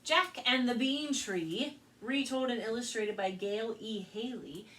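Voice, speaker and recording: Bright, animated woman presenting for children. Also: fairly high pitch. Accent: American. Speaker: children's librarian and storytime host. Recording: distant mic with faint background noise.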